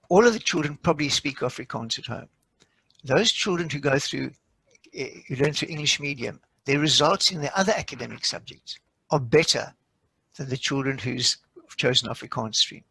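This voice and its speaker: A South African man talks as an expert panelist, explaining through anecdotes. His voice is bright and forceful, husky with a gravelly creak, and recorded dry and close. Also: medium pitch, dry recording, rough recording. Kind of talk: panel discussion.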